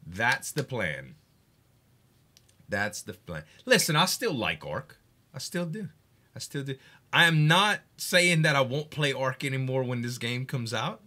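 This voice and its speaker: Guy streaming games, super hyped, swears a lot, really bright voice that jumps around. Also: fairly high pitch. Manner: excitable, hypes games, swears, laughs often. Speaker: game streamer. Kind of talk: casual live stream chat.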